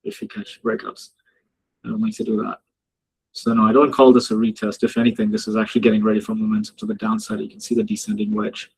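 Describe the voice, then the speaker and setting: Gravelly, husky man chatting on a live stream. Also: fairly low pitch, completely flat delivery, quick pace, rough recording. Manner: chatty, laughs often, jokes with the chat. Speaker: trading mentor hosting a live session. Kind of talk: casual live-stream commentary. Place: home office in Dubai.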